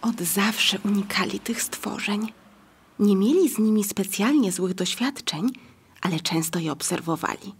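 Female narrator for a children's tale, mid-pitched, very husky and breathy, reading from script. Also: unhurried.

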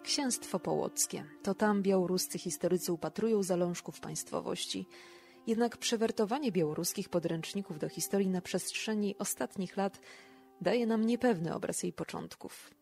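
A woman's voice: medium pitch, moderate pace, creaky, darkish tone, faint music behind. A woman hosting a podcast, reading scripted narration. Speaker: podcast host.

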